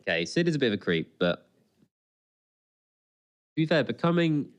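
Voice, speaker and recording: A man co-hosting a podcast, giving casual, chatty, excitable running commentary as he watches an episode. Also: medium pitch, moderate pace. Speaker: podcast co-host.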